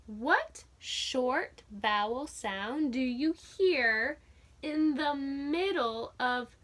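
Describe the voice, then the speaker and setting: A woman teacher reading a picture book aloud to children, sounding out letters and vowel sounds clearly and asking her listeners questions. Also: sits high, lively intonation, very slow, a bit bright. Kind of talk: children's read-aloud phonics lesson.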